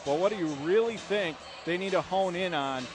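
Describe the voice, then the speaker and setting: A man doing live play-by-play basketball commentary on the radio in animated, clipped bursts. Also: high-pitched, moderate pace, over music. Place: indoor basketball arena.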